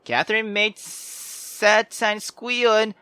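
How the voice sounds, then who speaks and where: A man's voice: sits high, flat delivery, slow, bright, forceful tone, clean tone, dry recording. A man hosting a gaming video, reading narration aloud and reacting in an informal, sweary way. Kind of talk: casual let's play commentary and read-aloud narration.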